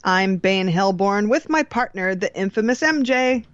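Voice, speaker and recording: A woman's voice: medium pitch, expressive, normal speed, dry recording. A woman hosting a podcast in casual chat, with an easygoing, agreeable way of talking. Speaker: podcast host. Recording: dull, dry lo-fi mic.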